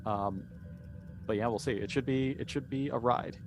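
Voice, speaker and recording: A man's voice: mid-range voice, normal speed. A man chatting casually about his plans as a cast member, hesitant and understated. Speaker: cast member describing an upcoming scenario. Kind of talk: casual chat. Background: music.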